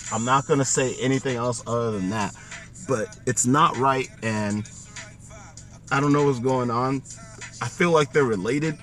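Hoarse male co-host, rambling and self-mocking, recorded in a car with faint music behind. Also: mid-range voice, fairly bright tone. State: losing his voice. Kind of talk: casual podcast chat.

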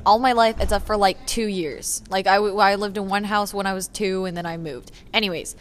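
A woman's voice: medium pitch, steady tone, a little fast. A teenage girl from the Houston area co-hosting a casual podcast, teasing her friend and laughing often as she rambles. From Houston area, Texas. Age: teens. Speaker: podcast co-host.

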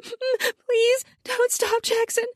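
A woman narrator voicing an eager, bold female lover in an audiobook, breathy, gasping and pleading. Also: monotone, extremely slow, no room sound, clean studio sound, exaggerated voice. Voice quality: high, breathy moaning.

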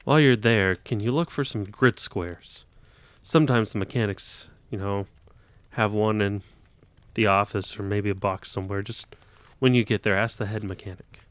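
A sly soldier with a casual, falsely friendly way of talking, as the storyteller puts him on. His voice is low and dry. He tosses off requests as if they were nothing. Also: normal speed, no room sound, lightly acted. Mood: scheming. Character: scheming male army specialist, friendly tone.

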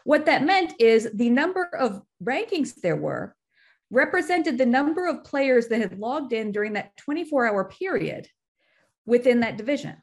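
A woman's voice: fairly high pitch, very animated, moderate pace. A woman guest on a podcast interview, explaining things methodically and in detail, step by step.

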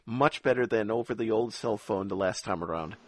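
A man's voice: on the low side, brisk, dry recording. Man hosting, close dry sound. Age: 50s. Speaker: podcast host. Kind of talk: casual interview chat.

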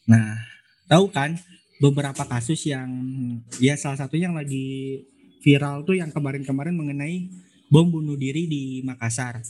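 A man's voice: mid-range voice, moderate pace, pauses often, a little mellow, low-quality recording. A man, hosting a casual podcast in a chatty, informal way that often repeats words. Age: 20s. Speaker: podcast host, law student.

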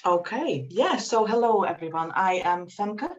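A woman's voice: mid-range voice, expressive, measured pace, lo-fi sound. A Dutch woman in her forties, a guest in an interview, telling her story reflectively with many ums and restarts. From Netherlands. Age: 40s.

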